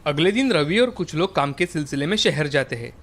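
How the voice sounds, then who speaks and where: A man's voice: fairly high pitch, brisk. Man narrating a cartoon moral story. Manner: steady, plain storytelling.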